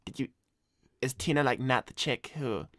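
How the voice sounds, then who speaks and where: A laid-back scumbag hedgehog played by a man with a mid-pitched voice. He talks in slangy, deadpan, self-assured livestream chat. The recording is close and dry. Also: moderate pace, no echo, natural voice. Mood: casual, sympathetic. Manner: slangy, swearing, deadpan bragging. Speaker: cartoon character co-hosting an advice livestream. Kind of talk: improvised in-character chat, reading donor messages.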